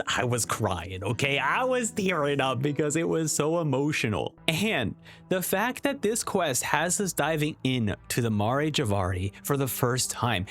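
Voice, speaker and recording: A man's voice: a little high, fairly bright tone. A man giving enthusiastic video game review commentary in an effusive, emphatic manner.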